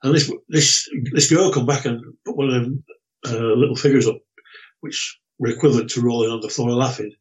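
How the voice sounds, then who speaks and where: A man's voice: mid-range voice, fairly even intonation, fairly quick. Man on a dull lo-fi line. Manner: hesitant, rambling. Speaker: regular talk show guest or co-host. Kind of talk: casual conversational anecdotes. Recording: dull lo-fi voice connection.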